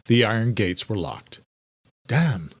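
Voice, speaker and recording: A man's voice: medium pitch, normal speed, no echo, clean studio sound. A man reading dramatic, scripted horror fiction as a narrator.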